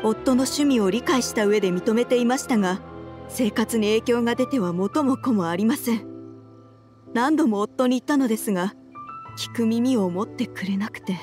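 Woman playing a troubled, high-voiced polite wife. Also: a little mellow, faint music behind, lightly acted. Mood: troubled, recounting. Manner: polite, measured, earnest. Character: troubled, earnest wife and mother, soft-spoken and polite. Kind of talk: video game voice acting.

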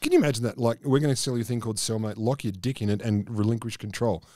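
A man's voice: medium pitch, fast talker, darkish tone, no room sound. A man co-hosting a podcast in a small studio, recounting news stories with crude wisecracks. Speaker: podcast co-host. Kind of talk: casual chat, telling news stories. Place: small studio room around a table. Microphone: desk mic on stand, headphones.

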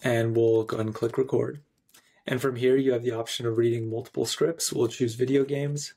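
A man in his thirties narrating a screencast tutorial in an instructional, clearly phrased way. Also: medium pitch, flat delivery, fairly quick, dark tone. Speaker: software tutorial presenter.

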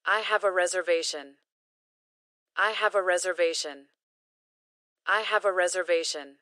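A woman reading short English phrases slowly and carefully, each one repeated for learners to practice. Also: on the low side, bright, forceful tone, dry recording, studio quality. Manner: careful, clearly enunciated. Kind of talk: slow repeated phrase drills.

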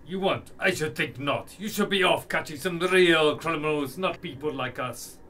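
Guy doing a grumbly indignant henchman, radio play, forceful and bright, faint noise. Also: on the high side, moderate pace, natural voice. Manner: blunt, complaining.

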